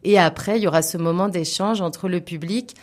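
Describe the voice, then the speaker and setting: Woman on a radio interview, voice a bit low, keeps searching for words but really earnest. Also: a little fast. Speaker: interview guest, theatre representative. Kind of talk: spontaneous radio interview conversation.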